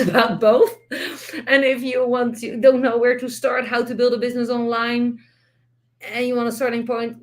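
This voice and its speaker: Woman, Dutch accent, slightly high-pitched, earnest and encouraging, speaks English as a second language, talks to camera. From Netherlands. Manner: earnest, encouraging, with frequent restarts. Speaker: online business coach. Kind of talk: direct-to-camera vlog advice.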